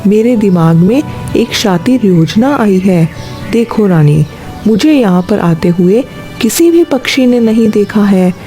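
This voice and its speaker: A woman's voice: mid-range voice, animated, measured pace, mellow, faint music behind, lightly acted. A woman voicing a sly, scheming male crow in a children's cartoon, with a persuasive, explaining manner.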